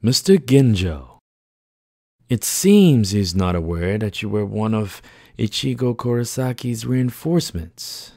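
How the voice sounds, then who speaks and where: A polite, needling anime woman voiced by an actress speaks with lively swings of pitch. Her wording is formal and precise, and the recording is dry. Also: a little slow, no room sound, heavily acted. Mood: politely needling. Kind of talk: anime fan dub acting.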